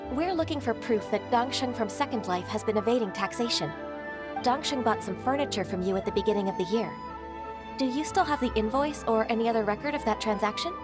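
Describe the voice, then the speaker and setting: A woman plays a courteous young official with a slightly high voice, formal and direct. Game music plays clearly behind her. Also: close to an everyday voice. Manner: polite, direct. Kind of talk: video game voice acting.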